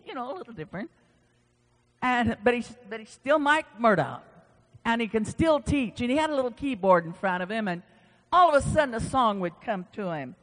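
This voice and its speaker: High-voiced preacher man in a reverberant hall. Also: a bit bright. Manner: conversational, emphatic repetition, folksy asides. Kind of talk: informal sermon with scripture reading.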